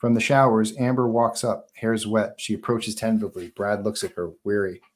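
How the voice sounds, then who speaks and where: A man's voice: on the low side, level tone, fairly quick. A man narrating stage directions at a live screenplay table read.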